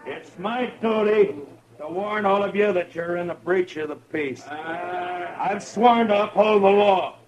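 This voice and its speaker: A dull-sounding old radio recording carries a stern American frontier marshal. He is a weary man of authority who speaks in terse, deliberate phrases in classic Western drama style. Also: high voice, a crowd in the background. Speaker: radio actor in the lead role.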